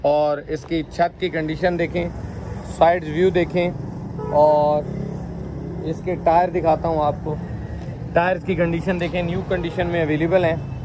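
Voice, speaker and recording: Man, 30s, outdoor car market, brisk repetitive patter, faint street noise. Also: fairly high pitch, flat delivery. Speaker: vlogger touring a used car market. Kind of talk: walkaround car showcase with owner chats.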